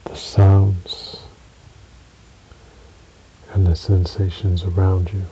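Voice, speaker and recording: A man narrating a guided relaxation meditation in a soothing, measured way, with long pauses between short phrases. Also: sits low, little pitch movement, dark tone. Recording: dull recording with audible hiss.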